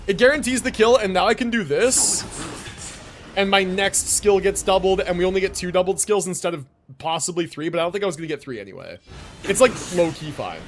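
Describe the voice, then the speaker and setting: A man's voice: sits high, fairly quick, a little hiss or hum. A man doing casual live game commentary, thinking aloud in slangy, sweary language. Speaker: game livestreamer. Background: game music.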